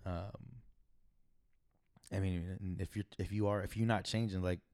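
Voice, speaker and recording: A young man with a raspy voice muses on a podcast, pausing and restarting as he works through his thoughts. His voice is low, and the sound is dry and close to the mic. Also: fairly even intonation, a little mellow, no echo. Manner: thoughtful, hesitant, many "uh" and restarts. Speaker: podcast co-host. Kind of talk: casual chat.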